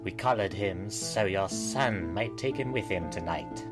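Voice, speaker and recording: A man voicing a stern, servile reptilian henchman, deliberate and formal, in a fanfic reading. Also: low voice, loud music behind the voice. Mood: deferential.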